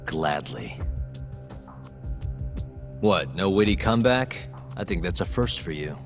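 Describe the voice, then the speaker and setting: A man's voice: deep voice, sing-song, slow, heavily acted. An actor playing a tough, cool and sardonic investigator in a video game cutscene, confident and taunting as he presses for answers. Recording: dramatic music behind the voice. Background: background music.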